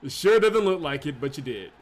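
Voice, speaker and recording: A man's voice: a little high, sing-song, a little fast, voice pushed up. A man voicing a hyped, showy, foul-mouthed fight announcer in a video game, bellowing to the arena.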